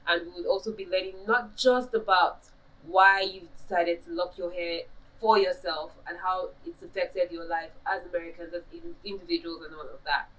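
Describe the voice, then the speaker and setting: A woman's voice: fairly low pitch, steady tone, normal speed, fairly bright tone, low-quality recording. Chatty Nigerian woman hosting a podcast. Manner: playful and chatty. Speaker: podcast host. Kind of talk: casual podcast interview.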